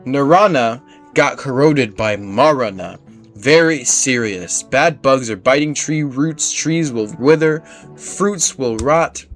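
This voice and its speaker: A man voicing a small, gentle forest spirit from a game, earnest and solemn, in simple broken phrasing. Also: mid-range voice, animated, slow, soft background music.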